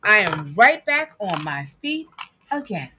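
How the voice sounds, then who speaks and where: A woman reading a picture book aloud with emphatic, dramatic phrasing and deliberate pauses. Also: mid-range voice, big pitch swings, pushed and bright, slight background noise. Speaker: guest reader and producer.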